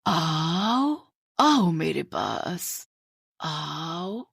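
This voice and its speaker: A woman voicing an eerie female ghost in a horror story, beckoning in slow, drawn-out calls. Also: sits low, very animated, creaky, a bit bright, slightly rough, dry recording, clean studio sound, voice pushed down, heavily acted. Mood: eerie luring.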